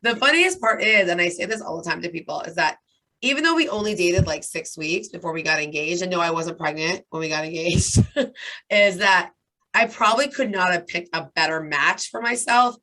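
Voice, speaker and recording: A hoarse woman in her thirties with a raspy voice, chatting as a guest and telling a long story with lots of "like" and "right?". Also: lowish voice, normal speed, sharp tone, rough recording. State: hoarse from talking nonstop. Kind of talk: casual interview chat.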